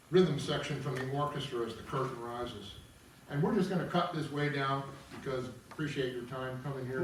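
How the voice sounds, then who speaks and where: Male presenter, conversational explainer reading stage directions, mid-pitched, recorded far off in a very echoey room. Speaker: composer presenting his opera. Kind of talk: informal table read introduction with read-out stage directions.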